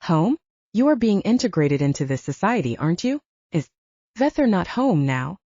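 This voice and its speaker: Woman voicing a formal, clinical alien male doctor, mellow. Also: lowish voice, sing-song, moderate pace, no echo, highs cut off. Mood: probing.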